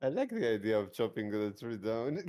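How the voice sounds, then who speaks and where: A man's voice: lowish voice, sing-song, moderate pace, dark tone. A man playing a tabletop role-playing game, chatting casually with the group.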